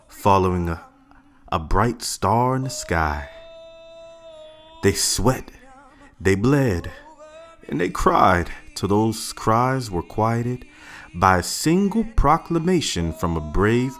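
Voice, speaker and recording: A man reading a father's part in a family picture-book read-aloud, telling his little daughter their family's history in a slow, expressive way. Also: fairly low pitch. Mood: storytelling. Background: faint added music.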